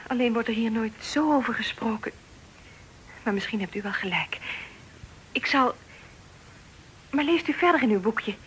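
A pirate's young daughter in a radio play, on a noisy old recording. Her voice is high and girlish, sweet, earnest and breathlessly pleading. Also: lively intonation, moderate pace, pauses often, a bit bright. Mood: earnest, curious. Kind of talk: Dutch radio drama acting.

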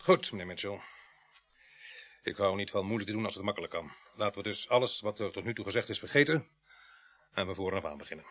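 A man's voice: on the low side, fast talker, long pauses, a bit bright. An actor playing a dry, probing male police sergeant in a radio play. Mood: stern, pressing.

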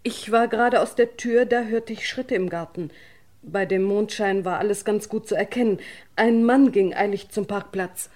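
A woman acting as a hotel secretary in a radio crime play. Her pitch is average for a woman. She speaks earnestly, in precise and orderly sentences. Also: moderate pace, darkish tone, lightly acted. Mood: composed, earnest. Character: earnest female hotel secretary giving police testimony.

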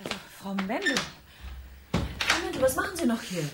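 A woman's voice: high voice, sing-song, moderate pace, heavy background noise. An actress playing a concerned, determined woman with an agitated, questioning manner in a radio drama. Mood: concerned, startled.

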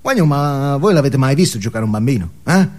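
A man's voice: mid-range voice, lively intonation, fairly quick, a little mellow, voice pushed down, natural voice. A man playing a brash, cynical self-help guru in a comic stage monologue, exhorting his listeners emphatically with rhetorical questions. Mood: preachy. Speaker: stage actor. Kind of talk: theatrical comic monologue as motivational speech.

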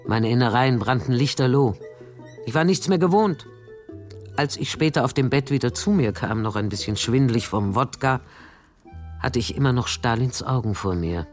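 A woman's voice: a bass voice, lively intonation, normal speed, a little mellow, faint music behind. An older actress playing a weary, sardonic former spy, narrating her memories dryly in a radio play. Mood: dry recollection. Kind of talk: radio play narration, first-person memoir.

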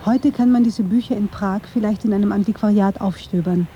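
A woman's voice: mid-range voice, fairly quick, dull audio, music in the background. A woman reading scripted narration for a radio documentary.

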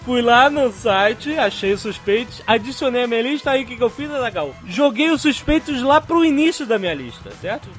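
A Brazilian man hosting a podcast, chatting excitedly and casually with his co-hosts. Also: very high voice, a little fast, few pauses, faint music behind. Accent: Brazilian Portuguese. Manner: excited, chatty, keeps saying "cara". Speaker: podcast host. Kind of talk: casual podcast chat and sponsor read.

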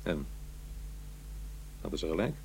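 An actor playing an uneasy, defensive middle-aged divorced father in a radio drama, confiding in a halting, conversational way. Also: rumbling low, big pitch swings, vocal fry, darkish tone, exaggerated voice. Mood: guarded, questioning. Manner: halting, hesitant, self-interrupting. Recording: faint noise and hum.

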